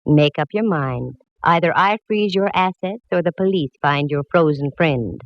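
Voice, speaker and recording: An actress playing a wisecracking woman private eye who also narrates, dry and hardboiled, from a dull old radio broadcast. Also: sits low. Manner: wry, hardboiled, quick with quips. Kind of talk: 1940s radio acting.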